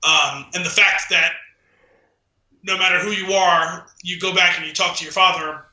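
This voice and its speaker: A man with a very bright, forceful voice pitched a little high talks casually as a podcast co-host. His phrasing rambles and feels its way along. The room around him echoes noticeably. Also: fairly even intonation, moderate pace. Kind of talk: casual film discussion chat. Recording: roomy, echoing room sound.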